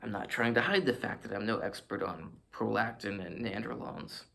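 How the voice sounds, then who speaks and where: Gravelly man rambling to camera. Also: fairly low pitch, moderate pace, a bit bright, slight background noise. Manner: rambling, self-mocking, digresses while flexing on camera. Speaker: fitness vlogger. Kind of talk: casual rambling monologue to camera.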